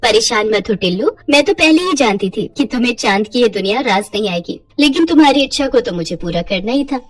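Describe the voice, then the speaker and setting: Woman playing a kindly high-voiced magical fairy. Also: animated, fairly quick, distant mic, faint music behind. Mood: gentle, knowing. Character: kindly magical wish-granting female fairy, calm and generous. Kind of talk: acted Hindi moral storytelling.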